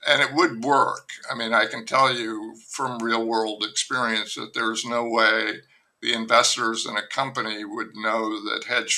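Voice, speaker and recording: Older guy novelist rambling along, gravelly, bright edgy tone, takes his time. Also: mid-range voice, low-quality recording. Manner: rambling, wry, many fillers and restarts. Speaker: novelist guest. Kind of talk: relaxed podcast conversation.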